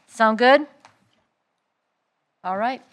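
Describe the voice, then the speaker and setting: A woman chairing a city council work session as mayor, brisk and organized, with some fillers. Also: on the high side. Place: council chamber.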